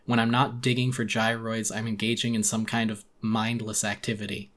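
A man's voice: fairly low pitch, flat delivery, normal speed, a bit bright. A man giving a dramatic first-person audiobook narration of a horror story in a weary, brooding manner.

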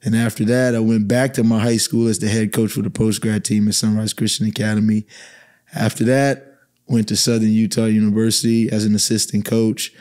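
A man in his thirties from the Bahamas, a basketball coach talking calmly as a podcast guest in a small studio. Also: fairly low pitch, brisk. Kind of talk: podcast conversation. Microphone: studio mic on boom arm.

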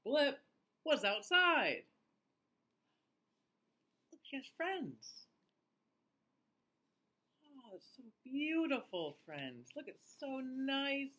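A man in his thirties reading a picture book aloud to children like a teacher, with an exaggerated, drawn-out delivery. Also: very high voice, very animated, bright, forceful tone. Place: small indoor room, seated facing camera.

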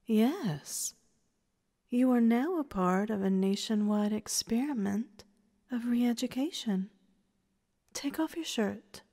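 A woman's voice: a little high, animated, slow, mellow, exaggerated voice. A woman playing a domineering, condescending woman who commands and taunts in an audio story. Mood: commanding. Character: domineering, condescending woman running a training program. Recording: reverberant hall-like echo.

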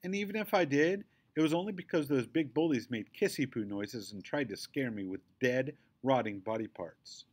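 A man's voice: medium pitch, normal speed, hardly any pauses, close to an everyday voice. A man voicing a feisty, chatty little girl in a storybook, speaking emphatically and indignantly. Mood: defensive, breathless rant. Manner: emphatic, excitable.

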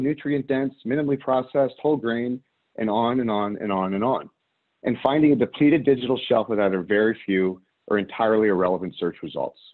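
Guy from chicago doing a scripted webinar talk, mid pitch, sounds like it's coming thru a phone. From Chicago area. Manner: measured, emphatic delivery with listed examples. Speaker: webinar presenter. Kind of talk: scripted business presentation. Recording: phone-like narrow band audio.

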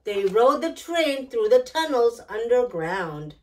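Slow-paced woman reading a children's story. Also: on the high side, big pitch swings. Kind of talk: children's picture book read-aloud. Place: small decorated indoor storytime set.

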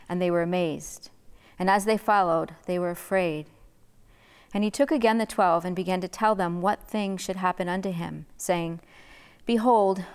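A middle-aged woman reads scripture aloud in a large church, careful and deliberate over the page. Her voice is smooth and clear at an ordinary pitch, and a long reverb trails after each phrase. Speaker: lay reader. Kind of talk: scripture reading.

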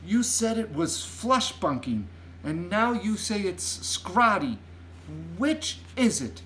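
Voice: mid-range voice, big pitch swings, takes it slowly, a bit bright, slight background noise, pitched above the performer's own voice, poor audio. A storyteller voicing a polite, curious little girl who asks questions in a children's storybook. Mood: puzzled. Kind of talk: children's storybook read aloud.

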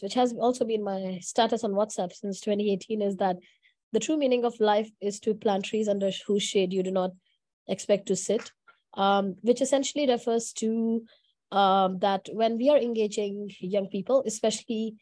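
A woman's voice: medium pitch, steady tone, moderate pace, dark tone, dry recording. A young woman giving an informal panel answer, hesitant and restarting her phrases. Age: young adult. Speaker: panelist, youth leader.

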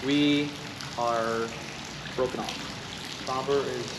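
A man's voice: mid-range voice, fairly even intonation, extremely slow. A man narrating an outdoor vlog in a casual, easygoing way, shrugging off setbacks and talking to his viewers. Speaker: outdoor vlogger. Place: outdoors by a lake and trails. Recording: outdoor recording with clear background noise.

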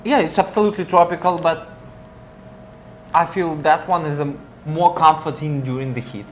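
A man in his thirties co-hosting a perfume review, talking casually and descriptively on a lapel mic in a bright studio. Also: fairly high pitch, moderate pace, slight background noise, low-quality recording. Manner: enthusiastic, descriptive, some non-native phrasing. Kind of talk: casual chat. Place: bright indoor studio with flower wall.

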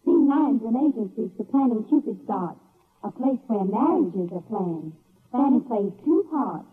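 A man announcing a radio revue in measured, showy rhyme, in 1930s broadcast style. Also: high-pitched, animated, slightly rough. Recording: tinny, band-limited 1930s radio broadcast.

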